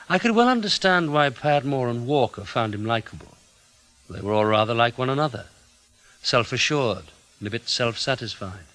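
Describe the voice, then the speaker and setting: A guarded intelligence investigator played by a man in a radio spy drama. He speaks at a middling pitch, measured and blunt. He is stubborn and gives nothing away. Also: a bit bright, natural voice, low-quality recording. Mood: reflective. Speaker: lead actor and first-person narrator. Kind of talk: radio drama acting and narration.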